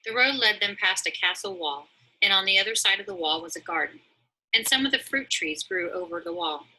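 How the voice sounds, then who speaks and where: A woman reading a storybook aloud as narrator, in a dramatic, expressive manner. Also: medium pitch, brisk, gravelly, rough recording. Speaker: story reader.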